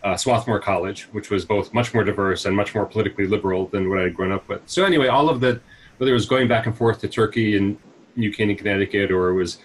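A man's voice: fairly low pitch, fast, husky, rough recording. A man in his forties from the United States, a nonprofit CEO answering interview questions on a video call through earbuds, reflective and full of fillers. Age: 40s. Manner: thoughtful, many fillers and restarts. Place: small room at home, indoors. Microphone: wired earbuds on a video call.

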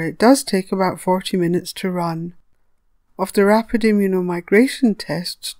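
A woman reading a scripted educational narration as a veterinary lecturer, measured and didactic, explaining step by step. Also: mid-range voice, a little mellow, no echo, clean studio sound.

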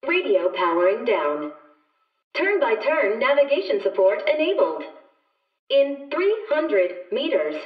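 A woman voicing a polite, unflappable onboard computer of a video game mech, making measured, clipped, matter-of-fact system announcements. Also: on the high side, echoey room, recorded from a distance, highs cut off.

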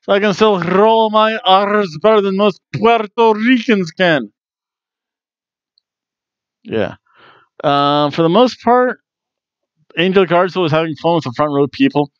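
A man's voice: sits high, measured pace, long pauses, clean tone, no room sound, lo-fi sound. A man in his forties hosting a casual wrestling review from a room at home on a headset mic, chatty and jokey.

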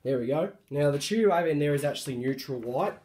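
A man with a hoarse voice from a cold, casually showing off a light fitting he refurbished, talking hesitantly with many ums and restarts, recorded on a handheld phone indoors. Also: fairly high pitch, expressive, fast talker, darkish tone. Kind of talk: casual show-and-tell narration.

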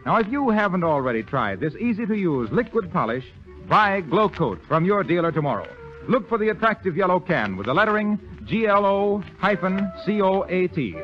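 Male vintage radio announcer, animated scripted delivery, muffled broadcast recording. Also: sits high, normal speed, faint music behind.

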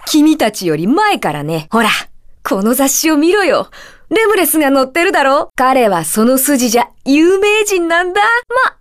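A smug, bookish schoolboy magician from a video game. The voice sits high and moves in big, animated leaps. The delivery is theatrical and pompous, that of a brainy little snob who is easily flustered. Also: female voice, normal speed. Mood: smug, scolding. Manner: pompous, theatrical, clearly declaiming. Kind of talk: Japanese video game character voice clips.

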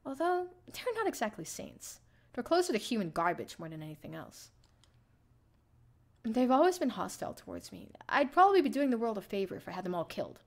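A young woman streamer reading a visual novel aloud in an expressive, theatrical first-person narration. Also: fairly high pitch, brisk, gravelly. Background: soft game music.

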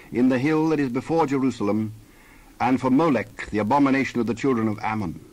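A man narrating scripture as a scripted dramatized reading, measured and solemn, with careful phrasing. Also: mid-range voice, rough recording.

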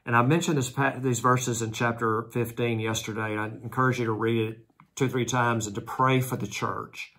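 A man in his sixties, a pastor giving a devotional Bible talk at home, earnest and slowing down to read scripture aloud, recorded close on a camera. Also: medium pitch, flat delivery, a bit bright, breathy edge. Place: room at home with patterned wallpaper. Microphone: front camera at close range.

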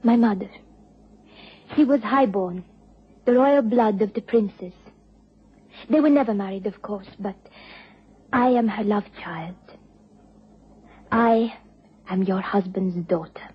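An actress playing a proud, mysterious young woman in a radio drama, speaking formally and earnestly in measured phrases. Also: medium pitch, slightly rough. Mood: proud, revealing. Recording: dull old radio recording.

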